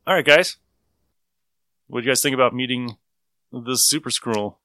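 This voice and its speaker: A man's voice: medium pitch, normal speed, sharp tone, slight background noise. A man hosting a podcast in casual, conversational discussion. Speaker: podcast host.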